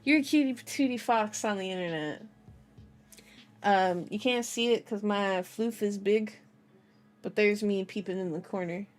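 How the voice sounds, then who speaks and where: A woman's voice: mid-range voice, moderate pace, faint music behind. A young woman streamer chatting casually in a playful, silly, giggly manner with cutesy slang, like she is hosting a livestream introduction. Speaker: VTuber streamer, channel host.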